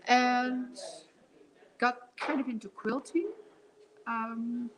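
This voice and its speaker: Dutch-accented woman guest, middle-aged, fairly high pitch, hesitant chat. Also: steady tone, rough recording. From Netherlands. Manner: halting, with many fillers and restarts. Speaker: guest quilter and artist. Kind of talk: casual interview chat.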